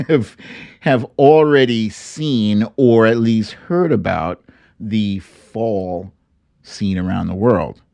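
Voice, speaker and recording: A man hosting a casual livestream, talking at an unhurried pace with big swings in pitch. His delivery is playful and sing-song, and the sound is close and dry. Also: no room sound. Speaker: livestream host. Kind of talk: casual livestream chat, reading out viewer greetings.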